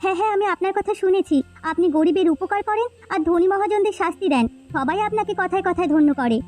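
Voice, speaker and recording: A woman plays a kindly, concerned village housewife in a cartoon folktale. Her voice is extremely high and quick, with earnest, expressive delivery. Light music runs underneath. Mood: earnest, hopeful. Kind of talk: Bengali cartoon folktale voice acting.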